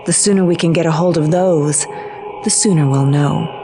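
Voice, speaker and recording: A woman's voice: low voice, moderate pace, over music, close to an everyday voice. A woman playing a grave, commanding ally of nature in a video game cutscene, speaking with urgency. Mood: measured.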